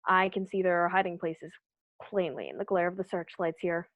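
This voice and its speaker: A woman's voice: lowish voice, normal speed, no echo, close to an everyday voice. A young woman acting an army captain giving a live field report in a radio drama. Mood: brisk, assured. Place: bedroom at home. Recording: dull, muffled home recording.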